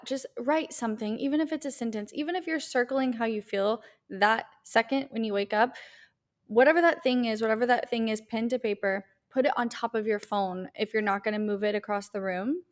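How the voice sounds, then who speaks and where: A woman co-hosting a casual podcast, upbeat and cheerful with a dry, teasing side. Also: a little high. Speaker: podcast co-host.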